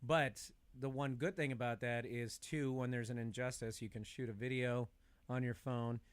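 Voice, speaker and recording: A man's voice: medium pitch, steady tone, normal speed, smooth. A middle-aged man in a casual podcast chat, talkative and opinionated. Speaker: podcast speaker.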